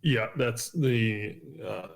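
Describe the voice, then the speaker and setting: Mid-pitched male podcast co-host. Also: extremely slow, gravelly. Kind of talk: casual chat.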